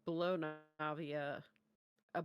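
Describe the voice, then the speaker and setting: A woman's voice: low voice, flat delivery, slow pace, smooth, lo-fi sound. A woman co-hosting a reaction show, gushing and exclaiming in casual chat. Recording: game trailer music in background.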